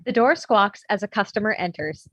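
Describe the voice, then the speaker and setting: A woman's voice: mid-range voice, lively intonation, moderate pace, a bit bright, dry recording. A woman narrator reading screenplay stage directions in scripted narration.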